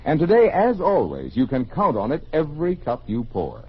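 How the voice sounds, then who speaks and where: An American man reading a scripted sponsor pitch in an emphatic vintage radio announcing style. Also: huge pitch swings, moderate pace, husky. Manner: emphatic sales delivery. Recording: muffled old radio broadcast.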